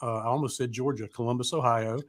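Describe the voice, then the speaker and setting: A man chats informally as a live show host in a dry, close sound. He rambles through promos, loses his thread and throws in mild swearing, all at an ordinary middle pitch. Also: steady tone, fast talker, no room sound. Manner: informal, mildly profane, often losing his train of thought.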